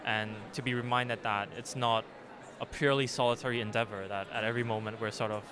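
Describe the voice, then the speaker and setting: A young man from Hong Kong, Cantonese his first language, talks through his answers slowly and reflectively, with frequent ums and restarts. His voice sits at an ordinary middle pitch. Lively crowd chatter is heard behind him outdoors. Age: young adult. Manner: thoughtful, hesitant, many fillers and restarts. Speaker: poet, interview guest. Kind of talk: casual interview answers.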